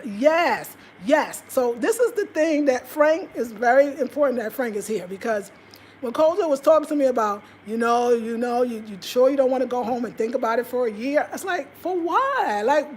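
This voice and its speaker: An American woman in her fifties chatting casually and expressively to viewers, telling stories in an animated, conversational way. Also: on the high side, normal speed, slight background noise. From United States. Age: 50s. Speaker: vlogger. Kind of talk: casual livestream chat, storytelling to viewers.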